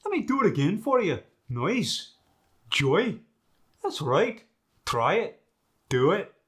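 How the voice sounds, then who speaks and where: A man's voice: sits high, big pitch swings, normal speed, a bit bright. A man playing a boastful Scottish dialect master, demonstrating sounds in an emphatic, swaggering manner for a comic lesson. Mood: instructive, confident.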